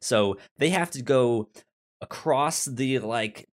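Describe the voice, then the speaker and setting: A man's voice: medium pitch, takes it slowly. A young man co-hosting a casual movie discussion, stammering and often repeating sounds and words as he talks. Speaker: podcast co-host.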